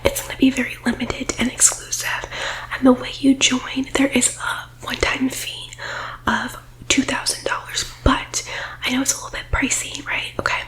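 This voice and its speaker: A woman whispering breathily in a persuasive ASMR roleplay, like a team leader giving a sales pitch, close to the microphone. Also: flat delivery, normal speed, a bit bright, rough recording.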